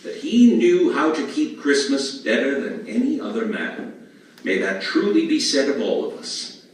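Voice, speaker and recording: A heartfelt, declamatory storyteller of a Christmas tale, played by a man on stage. The delivery is theatrical and animated in the manner of an old radio play. The sound is very echoey and distant from the microphone. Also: fairly high pitch, a little slow. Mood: solemn, heartfelt. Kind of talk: old-time radio-style stage acting. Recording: echoey stage recording from far off.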